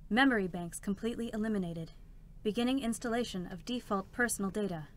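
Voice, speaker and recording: A woman's voice: medium pitch, quick pace, slight background noise, close to an everyday voice. A woman voicing a procedural support robot in a dramatic reading, with formal, clipped delivery. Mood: clinical, detached. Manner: flat, formal, clipped announcements. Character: cold, clinical female support robot, relentlessly procedural. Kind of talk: dramatic stage reading of a game story. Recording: music behind the voice.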